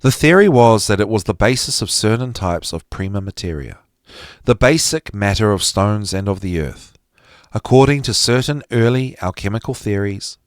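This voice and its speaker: Male reader for lecture-style material, slightly low voice, highly animated intonation, deliberate and emphatic. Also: dry recording, studio quality. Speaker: podcast host reading aloud from a psychology text. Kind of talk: expressive reading of a psychology lecture.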